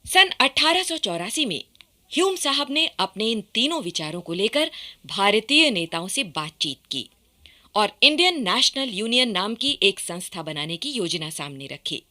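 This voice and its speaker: Lively, bright woman radio narrator. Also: medium pitch, unhurried. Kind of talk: scripted radio documentary-drama narration.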